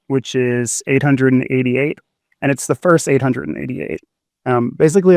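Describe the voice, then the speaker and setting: A man's voice: medium pitch, clear, resonant tone, no echo, clean studio sound. A man who founded a project, explaining it in a plain, matter-of-fact way during a casual live audio chat.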